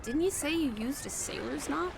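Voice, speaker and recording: A woman's voice: high-pitched, lively intonation, normal speed, vocal fry, noisy background, exaggerated voice. A woman voicing a sociable, playful young travel companion in a video game, with a breezy, wry, mock-dramatic way of talking. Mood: wry, teasing.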